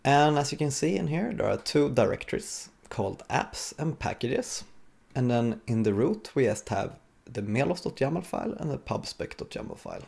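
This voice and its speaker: A man giving an informal, explanatory live coding talk as a conference speaker, with a gravelly, husky voice. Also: medium pitch, huge pitch swings, moderate pace, darkish tone.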